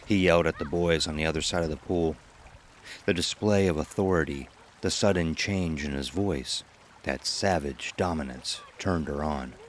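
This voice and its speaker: A man's voice: extremely low pitch, moderate pace, slight background noise. A man narrating a scripted horror story as an ominous storyteller.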